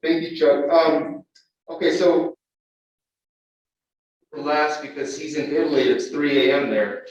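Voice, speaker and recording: A man's voice: fairly high pitch, steady tone, slow, long pauses, a little mellow. Distant man in an echoey meeting room. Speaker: session moderator. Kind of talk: introducing the next speaker. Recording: roomy echo, far from the mic, dull.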